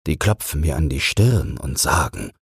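A man voicing a friendly old man who tells fairy tales, with a kindly, musing, unhurried manner. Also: extremely low pitch, big pitch swings, creaky, slightly rough, no echo, clean studio sound, full character voice.

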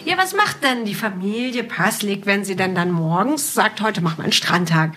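Woman, podcast host, casual interview style, chatty storytelling, quick questions, mid-range voice. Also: medium pitch, expressive, a bit bright, slightly rough, faint music behind.

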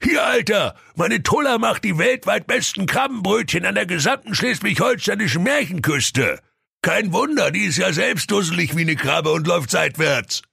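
Shrill, raspy, irate father. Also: expressive, moderate pace, pushed and bright, no room sound, studio quality, exaggerated voice. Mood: boastful, loud. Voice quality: raspy, strained, creaky. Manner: blustering, insulting. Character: irate, crude, penniless millionaire father. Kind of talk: comic fairy-tale audio story.

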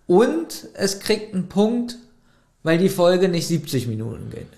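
A man's voice: high-pitched, unhurried, echoey room. A man co-hosting a podcast, chatting casually and arguing animatedly while reviewing an audio drama. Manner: animated, argumentative, laughs often. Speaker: podcast co-host.

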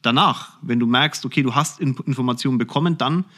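A man's voice: medium pitch, a little fast, bright, forceful tone. A man answering interview questions on a podcast in a blunt, direct and colloquial way. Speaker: podcast host being interviewed, finance executive.

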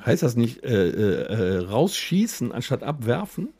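A man's voice: mid-range voice, big pitch swings, a little slow, mellow, slightly rough, no echo. A man co-hosting a podcast in casual, joking banter, talking colloquially and crudely. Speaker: podcast co-host.

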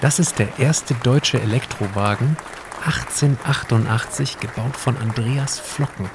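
A man's voice: mid-range voice, unhurried, a crowd in the background, noisy background. A man speaking conversational, scripted audio drama dialogue as a time-travelling presenter.

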